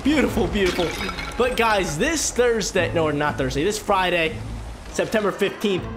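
A young man with a stuffy, congested voice, commentating his video game playthrough to viewers on a headset mic, hyped and chatty. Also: fairly high pitch. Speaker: gaming channel host. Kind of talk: gameplay commentary. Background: game music.

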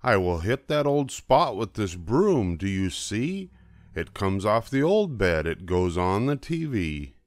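A man's voice: on the low side, very animated, slow pace, dry recording, clean studio sound. An older man voicing eager, determined little helper cats in a children's storybook, with an emphatic can-do manner. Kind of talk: children's storybook character reading.

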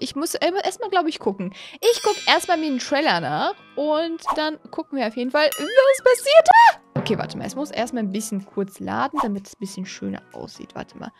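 A woman in her twenties giving casual, excited reaction commentary as a gaming host, exclaiming and repeating herself into a close desk mic. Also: sits high. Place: small home streaming room, indoors. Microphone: desk condenser microphone, close.

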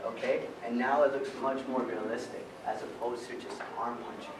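A man's voice: medium pitch, moderate pace. Man coaching fight moves in echoey hall. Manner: energetic, demonstrating moves while talking. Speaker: stunt fight instructor. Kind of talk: teaching a fight workshop. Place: large carpeted event room indoors. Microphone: far camera. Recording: echoey room from far off, loud background noise.

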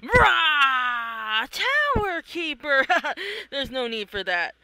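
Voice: high-pitched, very animated, drawn-out words, bright, forceful tone, no echo. A person reading a comic aloud, acting out the characters in a theatrical, exclamatory way. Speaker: reader of own comic. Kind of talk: animated read-along of a comic, acting out characters.

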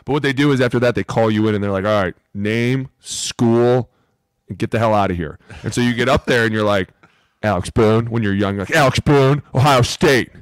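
A man's voice: medium pitch, fairly quick, clean tone, no room sound, poor audio. A man telling casual, salty anecdotes on a podcast, bluntly mimicking the people he quotes. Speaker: podcast co-host, former NFL lineman. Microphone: close podcast mic with headset.

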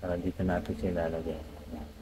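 A man with a fairly low voice talks through a hands-on tutorial in a casual way. He often halts and searches for the next word. There is clear background noise in the recording. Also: level tone. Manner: halting, searching for words. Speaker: vlogger giving a tutorial. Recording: clearly noisy background ambience.

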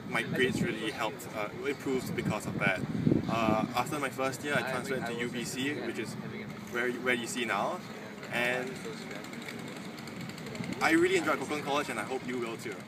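A young man in his twenties from Singapore gives a halting, friendly testimonial outdoors, filling gaps with "uh". He sits a few meters from the camera mic, so he sounds distant. Loud traffic and street ambience run under his voice. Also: mid-range voice, fairly even intonation. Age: 20s. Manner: hesitant with frequent uh fillers. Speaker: former student giving a testimonial. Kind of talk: casual spoken testimonial to camera. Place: outdoors in front of a campus building. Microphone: camera mic from a few meters away.

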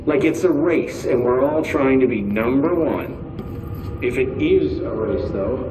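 A nervous, earnest security officer in a video game, chattering over an intercom. His pitch moves in a very lively way as he rambles and corrects himself. The sound is echoey, with clear noise behind it. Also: distant mic. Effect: intercom speaker.

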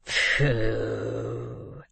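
A man voicing a crafty old criminal boss in a storybook reading, with a croaking, breathy voice and drawn-out, scheming delivery. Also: medium pitch, big pitch swings, fairly bright tone, no echo, heavily acted. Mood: scornful, furious.

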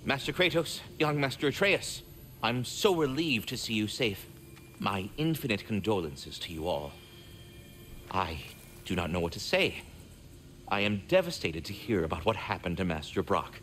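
Polite fussy talking squirrel from a video game, very wordy, pitch goes all over, faint ambience behind. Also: male voice, sing-song, moderate pace, a bit bright, slight background noise. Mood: sorrowful, sympathetic. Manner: formal, wordy, polite, theatrical. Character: courteous, fretful, erudite magical squirrel, keeper of World Tree. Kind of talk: video game character acting.

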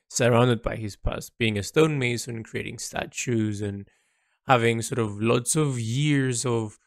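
A man speaking a reflective monologue as an artist's audio log, halting and introspective as he searches for words. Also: medium pitch, vocal fry, no room sound, studio quality.

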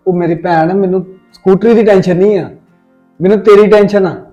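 A fond older brother, played by a man, mildly exasperated but reassuring. He speaks quickly with a Punjabi accent and a slightly high voice. Soft music hums in the background. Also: steady tone, natural voice. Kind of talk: comic family skit acting.

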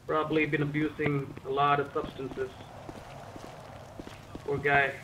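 Higher-voiced man muttering over noisy voice chat. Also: little pitch movement, measured pace. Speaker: roleplay player acting as a medic. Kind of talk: casual improvised in-character game roleplay.

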